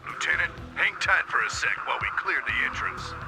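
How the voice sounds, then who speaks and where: Gravelly, brash space marine. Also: male voice, on the high side, fairly quick, pushed and bright, soft background music, exaggerated voice, rough recording. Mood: gruff urgency. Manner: gruff, cocky, exasperated. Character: brash, impatient, dim-witted male space marine squad leader.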